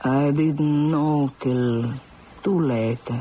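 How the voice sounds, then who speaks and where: An actress playing an ancient, dying Italian grandmother who tells fortunes, speaking with an Italian accent in a halting, theatrical, mournful way in a radio drama. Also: deep voice. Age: older. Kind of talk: 1970s radio drama acting. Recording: muffled, noisy old radio broadcast.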